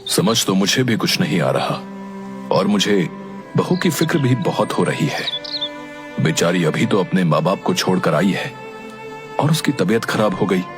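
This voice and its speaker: A grave village elder from an animated tale, played by a man. His fairly low voice swings expressively through measured phrases, over clear background music. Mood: concerned.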